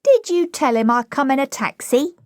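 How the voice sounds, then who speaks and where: Proud cockney flower girl, high n whiny, really indignant, swoopy, stage play style. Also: sing-song, normal speed, no echo, clean studio sound. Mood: saucy, defiant. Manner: whining, saucy, ungrammatical.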